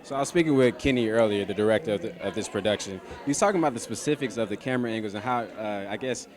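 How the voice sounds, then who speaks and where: A young man working as an interviewer, asking casual questions in a halting way and rephrasing as he goes. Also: lowish voice, crowd noise. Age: young adult. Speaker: interviewer, TV host.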